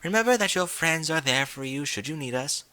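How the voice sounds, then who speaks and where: Storybook casting: male reader as refined lady pony, raised pitch, bright tone, genteel and gently teasing. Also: lively intonation, brisk, no room sound. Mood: firm, encouraging. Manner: genteel, formal diction with French flourishes.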